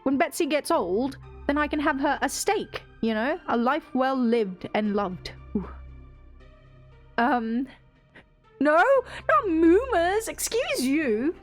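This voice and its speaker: A young woman with a British accent chats on a live stream, rambling from one tangent to the next with frequent laughs and casual swearing. Her voice sits a bit high and she takes her time. Faint music runs underneath. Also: expressive, takes it slowly.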